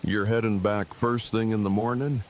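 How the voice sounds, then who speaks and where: A man's voice: lowish voice, steady tone, moderate pace, close to an everyday voice. An actor playing an earnest, awkward, bookish man in a post-apocalyptic audio drama, speaking in verbose, formal, precise phrases. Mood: formally tender. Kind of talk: fan audio drama acting. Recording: clearly noisy background.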